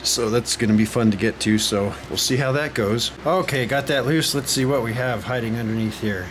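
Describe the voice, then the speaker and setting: A man's voice: medium pitch, brisk, background noise. A man narrating a hands-on repair casually, thinking aloud as he works. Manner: thinking aloud while working. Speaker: hobbyist radio repairer.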